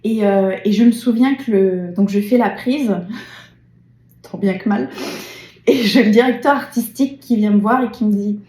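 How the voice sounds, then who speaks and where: A woman's voice: mid-range voice, normal speed, dark tone, echoey room. A woman interviewing a voice actor in casual chat, sharing her own dubbing memories expressively.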